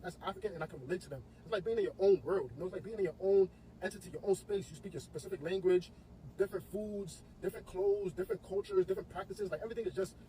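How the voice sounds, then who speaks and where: A man's voice: high-pitched, rapid-fire, mellow. A man in a casual clip, talking enthusiastically and rambling with many fillers, recorded outdoors. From United States. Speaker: clip subject. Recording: distant mic with outdoor street noise.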